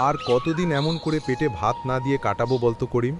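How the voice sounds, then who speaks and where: A man's voice: mid-range voice, fast, music in the background, natural voice. A man playing a frustrated yet hopeful poor village labourer in a cartoon, plaintive and questioning. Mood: anguished, pleading. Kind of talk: acted cartoon dialogue.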